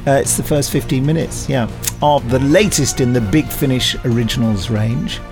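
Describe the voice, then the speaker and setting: A man's voice: mid-range voice, normal speed, music in the background. A man co-hosting a podcast, presenting in a wry, mock-pompous announcer manner. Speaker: podcast co-host. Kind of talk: mock-formal trailer presenting.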